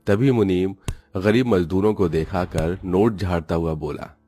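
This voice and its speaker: A man's voice: low-pitched, fairly even intonation, a little slow, warm tone, faint music behind. A man reading scripted, dramatic narration as a storyteller.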